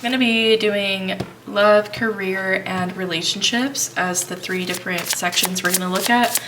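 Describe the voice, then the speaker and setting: A woman with a stuffy cold, reading tarot cards for viewers in a relaxed, reassuring way. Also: medium pitch, measured pace, far from the mic, slight background noise, low-quality recording. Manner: thinking aloud, reassuring, addresses viewers as piles. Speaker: tarot reader. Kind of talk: pick-a-card tarot reading.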